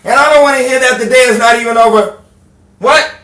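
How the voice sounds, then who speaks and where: A man playing a gruff, blustering, bossy 1930s newspaper editor with hard-boiled slang in an old-time radio drama. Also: very high-pitched, fairly quick, pushed and bright. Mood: barking, impatient. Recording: distant roomy recording with faint noise.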